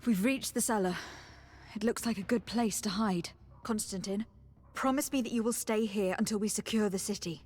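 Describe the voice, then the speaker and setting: A woman's voice: mid-range voice, normal speed, darkish tone, lightly acted. An actress playing a resolute, caring young noblewoman in a fantasy game, speaking earnestly and formally. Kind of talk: dramatic fantasy game acting.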